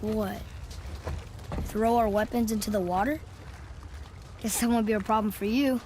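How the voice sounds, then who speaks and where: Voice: medium pitch, steady tone, moderate pace, background noise, lightly acted. A performer playing an eager, curious, talkative young boy in a video game, speaking in an earnest, chatty way. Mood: puzzled. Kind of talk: cinematic video game acting.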